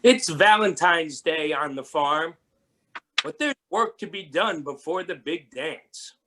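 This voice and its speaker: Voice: mid-range voice, expressive, slow pace, pushed and bright. A man narrating a children's storybook aloud as a readers theatre performer. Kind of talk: storybook reading.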